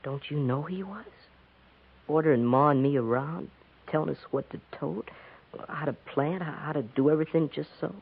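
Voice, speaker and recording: A young male actor playing a polite, earnest thirteen-year-old American runaway farm boy in a 1950s radio western, with halting, folksy speech. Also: on the high side, vocal fry, breathy edge. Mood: earnest, eager. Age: child or teenager. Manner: folksy rustic grammar, respectful, halting. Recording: muffled, narrow-band 1950s radio recording.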